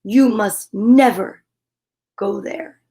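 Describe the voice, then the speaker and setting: A woman's voice: fairly high pitch, drawn-out words, dark tone, husky, exaggerated voice. A woman voicing a stern, regal lion king and father in a storybook, speaking in a commanding, solemn manner.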